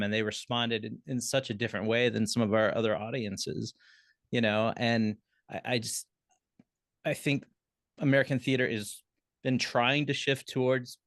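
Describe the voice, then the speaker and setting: A man's voice: on the low side, a bit bright, dry recording, clean studio sound. A man speaking as a panelist in a casual discussion, thoughtful and hesitant, feeling his way through phrases. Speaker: panelist, theatre company member.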